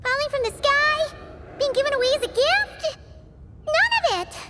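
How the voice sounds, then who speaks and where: A woman voicing a small, excitable, naive childlike companion creature in a video game cutscene, with a squeaky voice. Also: normal speed, very noisy. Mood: confused, disbelieving. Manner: refers to self in third person, exclamatory. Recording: background music throughout.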